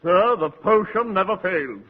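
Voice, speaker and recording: A man's voice: high-pitched, expressive. An actor playing a pompous, flowery-tongued con man in a 1940s radio comedy. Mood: grandly romantic. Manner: pompous, theatrical, drawn-out delivery. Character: pompous, flowery-tongued male pool room swindler. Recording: dull 1940s radio broadcast, faint noise.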